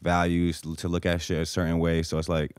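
A man's voice: sits low, monotone, a little fast, smooth, dry recording. A young American man hosting a casual podcast chat, talking informally with slangy tags, close on the mic. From born in Bosnia, grew up in the US from age four. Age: young adult. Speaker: podcast host. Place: small podcast room with couches.

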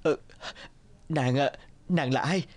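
A man voicing a courteous, earnest young prince in a fairy-tale cartoon, with a gallant, gentle manner. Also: mid-range voice, takes it slowly, lightly acted. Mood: bewildered.

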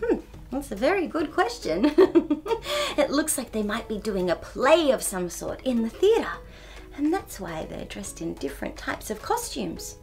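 A woman's voice: a little high, wildly swooping pitch, unhurried, creaky, a little mellow, faint music behind. A woman in her thirties reads a picture book aloud to young children in a bubbly, exaggerated, giggly way as a storytime host. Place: small furnished indoor room.

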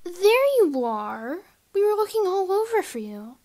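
A woman's voice: extremely high pitch, big pitch swings, noisy background, full character voice. A woman voicing a menacing young female bully pony in an amateur cartoon drama, taunting and drawing out her words. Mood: threatening. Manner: taunting, drawn-out. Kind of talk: amateur animated audio drama.

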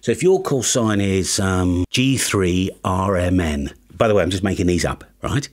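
A middle-aged British man presents a tongue-in-cheek how-to from a small home studio. His voice is fairly low but swings widely in pitch, delivering mock-serious advice with animation. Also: animated, moderate pace. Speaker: video host. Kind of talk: tongue-in-cheek instructional talk to camera.

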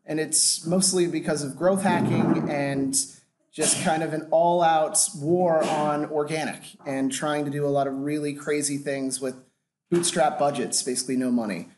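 A man's voice: fairly high pitch, fairly even intonation, normal speed, darkish tone, a little hiss or hum. An American man from Washington, D.C., giving a casual, filler-heavy lecture on marketing to a live audience. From Washington, D.C., USA. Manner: casual, loose, frequent "uh" fillers. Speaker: guest lecturer on growth hacking. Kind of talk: conference talk to a live audience.

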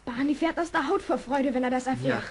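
A performer playing an eager, bossy child detective in a German children's radio play, brisk and excitable. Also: sits high, vocal fry, heavy background noise. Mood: cheerful, eager.

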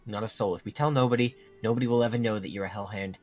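An earnest young werewolf student, played by a man, talks in a lowish voice with a casual, slightly hesitant delivery. He carries some guilt, in a Minecraft roleplay drama with music behind him. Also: steady tone, very fast, darkish tone, close to an everyday voice. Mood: serious, reassuring.

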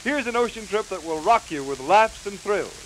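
High-pitched 1930s showman announcer, man. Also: animated, a bit bright. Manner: brisk, theatrical showman's hype. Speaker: promotional announcer. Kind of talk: 1930s scripted film and record promotion. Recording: hissy, crackly 1930s 78 rpm record. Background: record hiss and crackle.

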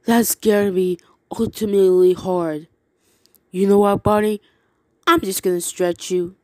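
A woman's voice: mid-range voice, steady tone, a little slow, a little mellow, slight background noise. A woman giving theatrical, exclamatory reactions as a player in a horror parody.

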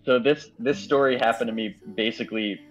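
A man chatting casually as a writer being interviewed, halting and repeating his words. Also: mid-range voice, fairly even intonation, faint music behind. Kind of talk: casual chat. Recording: dull lo-fi voice call sound.

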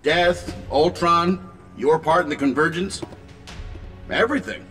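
An actor playing a cocky, flippant genius inventor superhero who teases sarcastically in a video game cutscene. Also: male voice, a little high, unhurried, fairly bright tone, in a large hall, faint music behind. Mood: casual, teasing.

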